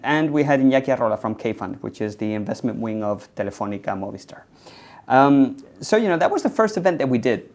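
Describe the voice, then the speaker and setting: Male CEO guest raised in Spain, casual rambling interview answers, average pitch. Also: quick pace. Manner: relaxed storytelling with frequent ums and uhs. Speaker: CEO of a tech hub. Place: indoors in an office building.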